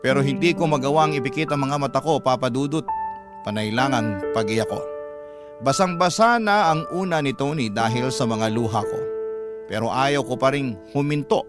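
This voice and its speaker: Male radio narrator, medium pitch, scripted dramatic storytelling, first person, loud musical backing. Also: normal speed, loud music behind the voice.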